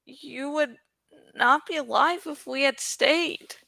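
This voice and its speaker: Woman as a solemn, measured female dragon. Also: sits high, vocal fry, sharp tone, no echo, exaggerated voice. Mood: somber. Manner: solemn, measured, formal phrasing. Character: grave, protective female dragon companion.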